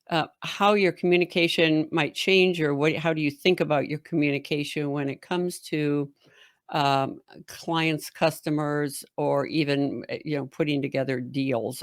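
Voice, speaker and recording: A woman with a deep voice hosting an interview, talking in a very direct way. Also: dry recording, clean studio sound. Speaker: podcast host. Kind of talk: interview conversation.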